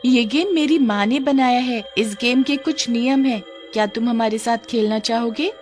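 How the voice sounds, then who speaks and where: A woman's voice: fairly high pitch, normal speed, a little mellow, faint music behind, voice pushed up, lightly acted. A woman voicing a curious, eager young daughter in a cartoon story, childlike and inquisitive. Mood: inviting.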